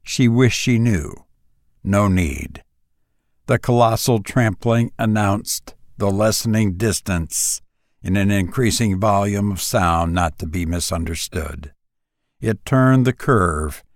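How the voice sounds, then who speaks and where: A man reads gothic fiction aloud in a fairly deep voice. His delivery is very slow and grave, with deliberate pauses that draw out each phrase. The sound is dry and close, with little room around it. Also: no echo. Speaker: audiobook reader. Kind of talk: dramatic narration of a literary horror story.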